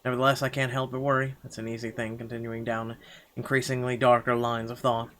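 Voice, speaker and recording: A man reading a visual novel aloud as first-person dramatic narration, halting now and then over the words. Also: mid-range voice, flat delivery, fairly bright tone. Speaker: game player reading the text aloud.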